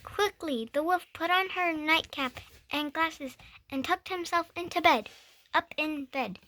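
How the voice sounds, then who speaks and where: Young girl storybook reader, high voice, unhurried, stumbles and self-corrects, playful asides. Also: sharp tone, a little hiss or hum. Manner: stumbling over words and correcting herself. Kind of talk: reading a picture book aloud with playful asides.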